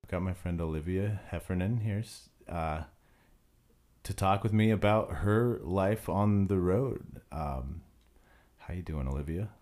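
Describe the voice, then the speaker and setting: Deep-voiced man hosting a podcast. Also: measured pace. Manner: relaxed, conversational, rambling plug. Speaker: podcast host and musician. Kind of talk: casual podcast chat.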